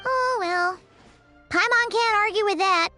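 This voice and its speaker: A woman voicing a small, childlike, excitable fairy companion who is cheeky and chatty in a video game. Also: measured pace, no room sound, faint music behind, heavily acted. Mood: cheerful. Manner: squeaky, exclamatory, refers to self in third person.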